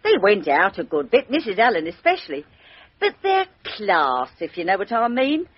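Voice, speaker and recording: A woman's voice: medium pitch, huge pitch swings, normal speed, slight background noise. A woman playing a chatty, gossipy landlady who calls everyone sir, in a dull-sounding old radio drama recording. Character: chatty gossipy working-class London landlady, middle-aged. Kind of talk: 1950s radio drama acting.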